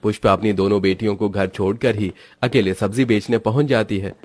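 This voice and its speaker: Guy narrating a cartoon story, kinda low voice, really dry studio sound. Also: fairly even intonation, fairly quick, a little mellow, no room sound, faint music behind.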